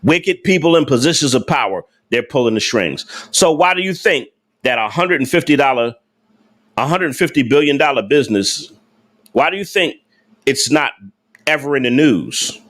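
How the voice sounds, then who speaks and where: A man's voice: mid-range voice, lively intonation, normal speed, a bit bright. Male livestream host, casual and slangy, emphatic and repetitive delivery. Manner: emphatic, repetitive, rhetorical questions to chat; slangy informal speech. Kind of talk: casual livestream talk to viewers.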